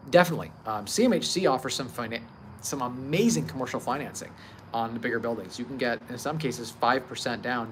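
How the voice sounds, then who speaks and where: A thirty-year-old man hosting a casual livestream about investing, speaking informally, emphatically and confidently. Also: mid-range voice. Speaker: livestream host, real estate investor. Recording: clear steady background ambient noise.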